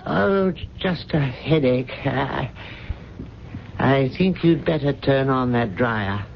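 Through a dull radio recording with faint hiss comes an ailing, devoted man in a radio drama. He speaks slowly and haltingly, weary but dryly dignified, at a middling pitch. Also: expressive, gravelly. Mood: dry, pained. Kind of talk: 1970s radio drama acting.

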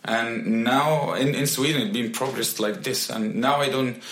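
Young man, guest musician, halting restarts, echoey room. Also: mid-range voice, fairly bright tone. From Egypt. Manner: halting, with frequent restarts and fillers. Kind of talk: interview answers.

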